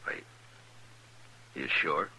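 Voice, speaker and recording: An actor playing a stern, steady US marshal in an old-time radio western, speaking tersely and with calm authority. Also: male voice, very slow, full character voice. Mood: kindly, matter-of-fact. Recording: noisy old radio drama recording.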